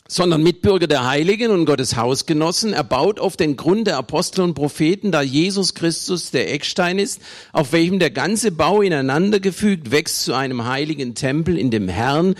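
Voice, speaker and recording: A man from Germany preaching a sermon, with emphatic delivery, deliberate pauses and conversational asides to his listeners. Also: fairly high pitch, lively intonation. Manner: emphatic, with dramatic slow phrasing and pauses. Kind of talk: sermon to a congregation.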